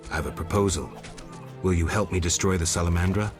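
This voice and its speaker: Very deep, terse man acting a monster hunter. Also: quick pace, music in the background, natural voice. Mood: terse, calm. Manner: terse, flat, deadpan. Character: stoic, world-weary male monster hunter for hire. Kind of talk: video game dialogue acting.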